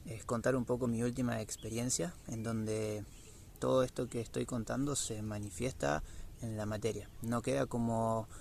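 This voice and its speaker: Argentine male, low-pitched with heavy vocal fry, reflective spiritual vlogger, halting delivery, faint background noise. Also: fairly even intonation, a little mellow.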